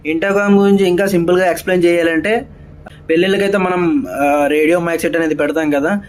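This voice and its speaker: A man gives a casual step-by-step vlog explanation, mixing in English terms. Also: fairly high pitch. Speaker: seafarer vlogger. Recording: clear ambient background noise.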